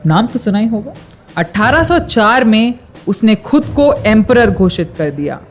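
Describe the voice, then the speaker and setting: A woman performing as a dramatic, declamatory storyteller of the French Revolution in a rhyming radio play. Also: medium pitch. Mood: explanatory.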